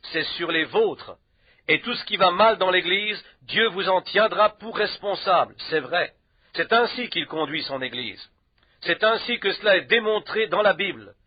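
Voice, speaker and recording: High-voiced man preaching, dull lo-fi sound. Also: no room sound. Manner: emphatic, repeats short affirmations. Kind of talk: sermon.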